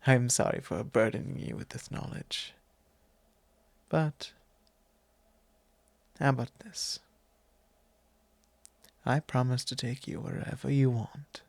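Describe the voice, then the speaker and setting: A courteous vampire lord, played by a man, confides softly in an ASMR roleplay. His voice has a gravelly creak and moves very slowly, in formal, hesitant phrases. It is solemn and tender, very close and dry on the microphone. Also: mid-range voice, dry recording. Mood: tender, promising. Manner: measured, formal, hesitant phrasing with soft breathy drops. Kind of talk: ASMR roleplay, soft intimate monologue.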